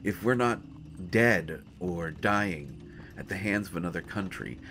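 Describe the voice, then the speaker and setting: A man playing a brooding young prince in a fantasy audio drama, speaking to the listener in a halting, earnest, dramatic way. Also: sits low, expressive, a bit bright, slight background noise, exaggerated voice. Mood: tense, reasoning. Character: brooding young male prince at war with his father. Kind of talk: fantasy roleplay audio acting addressed to the listener. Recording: music behind the voice.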